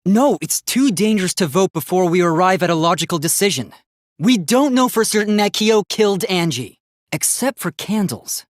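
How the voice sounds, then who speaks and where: K1-B0, earnest robot boy, lively intonation. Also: sits high, normal speed, dry recording, clean studio sound. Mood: earnest, logical. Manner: formal, precise, stiffly logical wording. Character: earnest, polite young male robot student, easily flustered. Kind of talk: video game voice acting.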